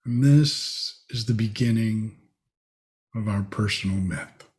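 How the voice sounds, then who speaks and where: A man leading a guided meditation, speaking in short, spacious phrases with long pauses. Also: fairly low pitch, a little mellow.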